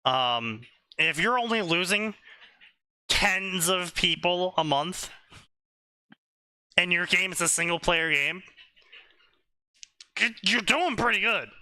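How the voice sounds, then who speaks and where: Slow, bright-voiced man explaining. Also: high-pitched, expressive, no room sound. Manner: explanatory, slow and deliberate, asks "right?" often. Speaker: stream co-host. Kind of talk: casual commentary on a stream.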